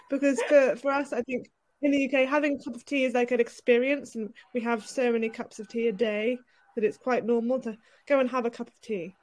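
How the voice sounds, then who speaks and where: A British woman, a writer and editor, speaking softly and hesitantly as a guest in a casual interview over a video call. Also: on the high side, steady tone, brisk, rough recording. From United Kingdom. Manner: soft-spoken, hesitant with many ums.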